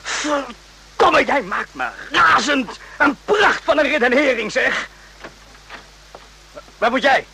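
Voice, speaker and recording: An actor playing an agitated, outraged soldier in an emphatic radio drama style, repeating himself and swearing. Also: male voice, extremely high pitch, animated, takes it slowly, creaky, long pauses, bright, forceful tone, slight background noise, heavily acted, rough recording. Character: agitated, morally outraged soldier in the Vietnam War.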